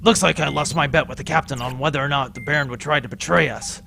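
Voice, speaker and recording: Man playing a sardonic, gravelly starship commander. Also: mid-range voice, fast talker, music in the background, close to an everyday voice. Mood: wry annoyance. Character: dutiful, sardonic male second-in-command of pirate starship. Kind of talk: space adventure audio drama acting.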